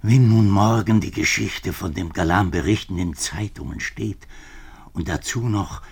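Anxious, pleading minister in a 1950s radio play; deep, slow-paced, formal and halting. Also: male voice, husky, exaggerated voice. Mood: confessional, troubled. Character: distraught male government minister, middle-aged, anxious and pleading. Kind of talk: 1950s German radio drama acting.

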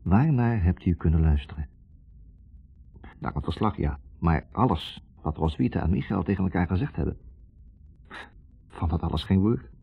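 Very deep, muffled male reporter. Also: expressive, normal speed, creaky, pauses often, slightly rough, heavily acted. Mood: dissatisfied, reflective. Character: dissatisfied, self-questioning male reporter narrating his investigation. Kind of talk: 1960s Dutch radio drama narration. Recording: muffled narrow-band 1960s radio recording.

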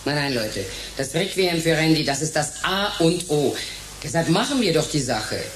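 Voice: medium pitch, big pitch swings, normal speed, slight background noise, lightly acted. An actor playing a bossy, cynical, ruthless ringleader in a radio crime drama, commanding and sarcastic.

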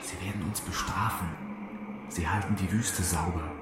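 Low-voiced cocky guy played by an actor. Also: fairly even intonation, moderate pace, over music, lightly acted. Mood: tense, warning. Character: cocky, flirtatious male programmer stranded in a game desert. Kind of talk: German radio drama acting.

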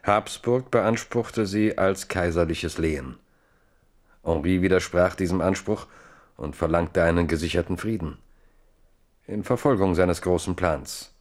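A very deep-voiced, grave chronicler. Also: male voice, unhurried. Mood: grave, reflective. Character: grave male chronicler of a king's fate. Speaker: narrator. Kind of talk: dramatic scripted radio play narration.

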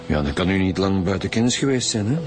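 An actor playing a brisk, sceptical male homicide detective with a Flemish accent, blunt and firm, in a radio drama. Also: sits low, expressive. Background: loud music.

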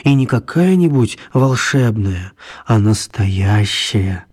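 Husky, wry male storyteller. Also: lowish voice, expressive, takes it slowly, darkish tone. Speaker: narrator. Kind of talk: scripted radio play narration.

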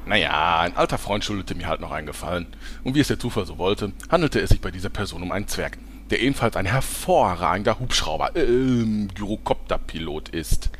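Man playing a low-voiced, slick showman. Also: lively intonation, brisk, a bit bright, slight background noise. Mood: smug, casual. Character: slick, scheming male showman hosting reality TV. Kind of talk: comedic audio drama acting.

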